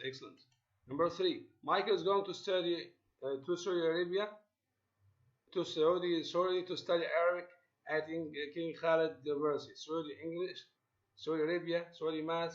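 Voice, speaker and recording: A man teaching an English class, halting with many fillers and switching between English and Arabic. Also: on the high side. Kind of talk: online classroom lesson. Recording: muffled lo-fi audio.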